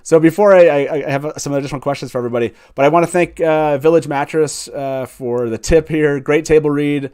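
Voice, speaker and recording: A man's voice: on the high side, fairly quick, hardly any pauses, darkish tone, clean tone. A man with an informal, enthusiastic manner hosting a casual fan livestream chat over a video call. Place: live online video call.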